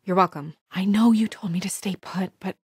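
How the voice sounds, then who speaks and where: A young female fairy-tale official for a narrative game: measured, caring yet firm, naturalistic delivery, medium pitch, close dry sound. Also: breathy edge, dry recording. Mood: defiant, anxious. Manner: earnest, measured, diplomatic. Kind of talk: naturalistic video game voice acting.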